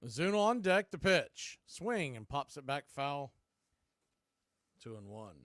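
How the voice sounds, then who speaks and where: A male American baseball announcer gives live play-by-play. His voice is smooth and resonant, slightly high for a man, and recorded dry with very little room around it. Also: big pitch swings, unhurried, fairly bright tone, no echo.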